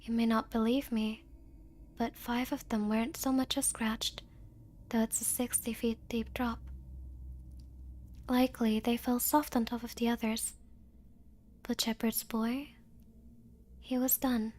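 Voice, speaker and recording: A woman with a high voice reads a story in ASMR style. She takes her time and pronounces each word with care. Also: level tone, a little mellow. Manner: slow careful pronunciation when reading. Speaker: VTuber ASMR performer. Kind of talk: ASMR storytelling and playful chat.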